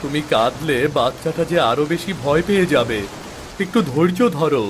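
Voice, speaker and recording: A man's voice: fairly high pitch, a little fast, close to an everyday voice. A man voicing a poor, devout village father in a moral-story cartoon, earnest and reassuring. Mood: consoling, hopeful. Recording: clear rain noise behind.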